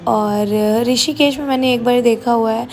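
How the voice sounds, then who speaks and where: A young woman, slightly hoarse, casually narrating the voice-over of her vlog, mixing Hindi and English. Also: on the high side, steady tone, normal speed, darkish tone, slight background noise. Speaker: vlogger. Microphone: voice-over recorded separately.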